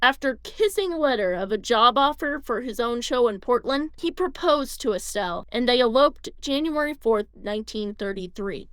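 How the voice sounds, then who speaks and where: A woman's voice: on the high side, lively intonation, normal speed, few pauses, clear, resonant tone, no echo. A woman reading scripted documentary narration as the narrator.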